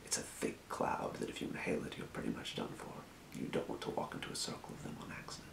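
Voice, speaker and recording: A man voicing a helpful fantasy potion shopkeeper in an ASMR role play, murmuring in an unhurried, breathy whisper. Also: roomy sound, natural voice. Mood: absorbed muttering. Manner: murmuring, unhurried, muttering to himself. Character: helpful old-world fantasy potion shopkeeper, quietly businesslike. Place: small room, indoors at a desk.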